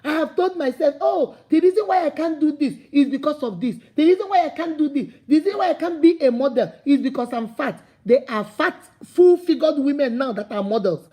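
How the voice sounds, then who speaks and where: A woman's voice: sits high, lively intonation, brisk, hardly any pauses, dark tone. A woman with a Nigerian accent giving an impassioned motivational talk, repeating her points and building to emphatic calls. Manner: preachy, repetitive, rising to emphatic calls. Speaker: motivational speaker.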